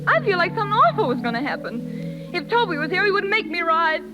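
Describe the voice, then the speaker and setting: An actress playing a high-strung, pleading young circus rider with an emotional delivery in a 1930s radio drama. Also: female voice, big pitch swings, quick pace. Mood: distraught. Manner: emotional, plaintive. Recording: muffled 1930s radio, loud music behind.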